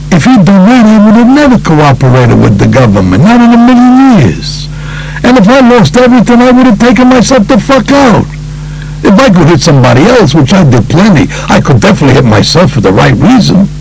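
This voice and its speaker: A man voicing a tough, aggrieved, profane mob underboss in an audiobook, blunt and streetwise, with a gravelly voice. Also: a little high, darkish tone, poor audio.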